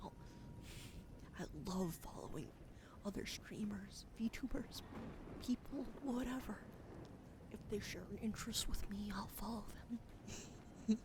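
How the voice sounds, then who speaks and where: A woman livestreaming ASMR in a soft, breathy whisper and hushed casual chat, with a slightly weak, wheezy throat, speaking gently to her viewers up close to the mic. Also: medium pitch, unhurried, pauses often, background noise. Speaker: streamer hosting a live ASMR broadcast.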